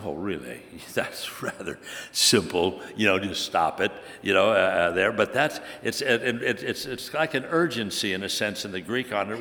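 Preacher in a reverberant hall. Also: male voice, lowish voice, pushed and bright. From Hungary. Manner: halting, repetitive restarts, emphatic scripture quoting. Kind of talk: sermon.

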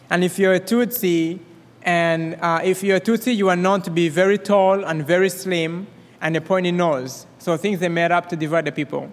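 A young man from Rwanda with a Rwandan accent, giving his personal testimony at a church service in a quick, excited way with many restarts. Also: high-pitched. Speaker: guest speaker. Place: church hall. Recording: long reverb of a hall.

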